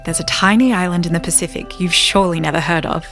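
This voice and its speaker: A woman narrating a scripted audio fable in a measured, wryly ornate storybook manner. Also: lowish voice, fairly bright tone, faint music behind. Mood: wry storytelling. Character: wry, grandiose storybook narrator of an island fable.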